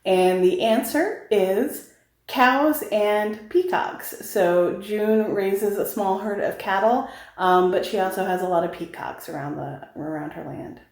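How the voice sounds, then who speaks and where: In a noticeably echoey room, a woman with a medium-pitched voice speaks as a novelist. She explains her book in a casual, direct way. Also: a little slow, a little mellow. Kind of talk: casual talk to camera about own book. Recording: roomy, echoing home-style recording.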